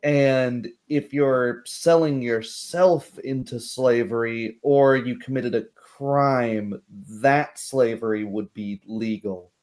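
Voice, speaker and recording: A man's voice: mid-range voice, steady tone, takes it slowly, few pauses, a little mellow, close to an everyday voice. A man voicing a hesitant, plain-spoken young commoner in a tabletop fantasy game. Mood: hesitant, matter-of-fact.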